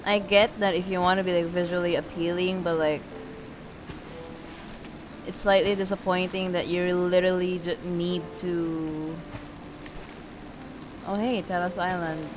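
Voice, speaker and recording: A woman's voice: lowish voice, little pitch movement, measured pace, pauses often, noisy background. A woman giving casual, sweary let's play commentary as a game streamer, reacting aloud in an informal way. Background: video game music.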